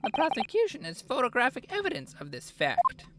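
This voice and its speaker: A woman voicing an arrogant male prosecutor in a game, with a nasal, condescending voice, over loud game music. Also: high-pitched, huge pitch swings, fairly quick, very noisy, voice pushed up. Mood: haughty. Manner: haughty, condescending. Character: arrogant male prosecutor, adult, haughty and sneering.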